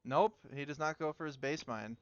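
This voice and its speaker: A man casting a video game tournament in a relaxed, chatty way, with lots of fillers. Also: a little high, moderate pace, muffled recording, slight background noise. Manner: chatty, laid-back, filler-heavy. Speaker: tournament caster. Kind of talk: casual game commentary.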